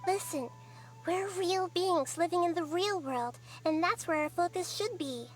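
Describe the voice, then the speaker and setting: A small, wise girl goddess. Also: extremely high pitch. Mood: calm. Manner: soft, measured, gently explaining. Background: game music.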